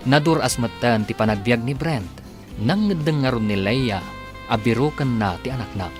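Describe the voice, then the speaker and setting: A male radio drama announcer with an Ilocano accent, speaking at a middling pitch. He narrates from a script in dramatic style, with prominent guitar music behind him.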